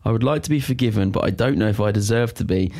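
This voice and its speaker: A man's voice: fairly low pitch, quick pace, creaky, darkish tone. A man co-hosting a casual podcast, reading listener confessions aloud and often laughing as he goes. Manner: giggly, breaks into laughter while reading aloud.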